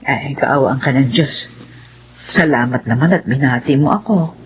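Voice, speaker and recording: A woman's voice: rumbling low, moderate pace. A woman playing a friendly, hopeful older mother, effusive and pious, in a radio drama. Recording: dull, faintly noisy.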